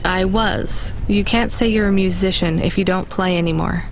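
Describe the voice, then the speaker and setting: An actress playing a young, plain-spoken and guarded delivery driver in a video game. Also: female voice, mid-range voice, steady tone, normal speed, slight background noise, natural voice. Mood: guarded, sympathetic. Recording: music behind the voice.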